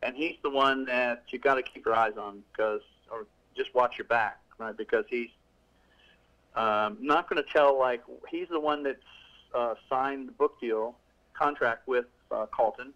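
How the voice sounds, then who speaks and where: A man's voice: medium pitch, a little slow, a bit bright, lo-fi sound. A middle-aged male author talking casually in an interview, rambling with many restarts and fillers. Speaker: author guest.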